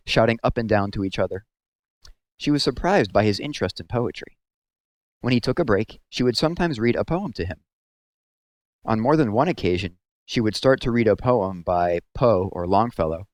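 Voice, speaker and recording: A man narrating a story aloud as a scripted read-aloud, with measured, expressive phrasing. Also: lowish voice, no echo.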